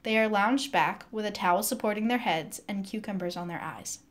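Woman reading out a script's stage directions, middle pitch. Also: a little fast. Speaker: narrator.

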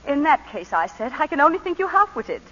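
An actress playing a composed planter's wife recounting a shooting, in 1940s radio acting. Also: female voice, high voice, big pitch swings, fairly quick, pushed and bright, slight background noise, exaggerated voice. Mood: measured. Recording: muffled 1940s radio broadcast.

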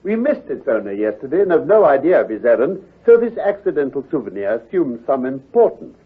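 An actor playing a brisk, confident, teasing gentleman detective with a British accent, precise and patronizing, in an old-time radio drama. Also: medium pitch, sing-song, breathy edge. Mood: inquisitive. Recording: muffled, lo-fi vintage radio recording.

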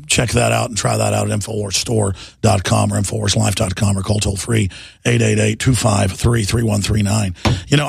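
A middle-aged man hosting talk radio with a hoarse, deeply gravelly voice, rough and husky after an illness. He talks in a relaxed, rambling way, stumbling and repeating words. The studio sound is close and dry. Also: dry recording. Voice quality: gravelly, raspy. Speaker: radio talk show host. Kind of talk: talk radio monologue with ad reads. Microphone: studio microphone.

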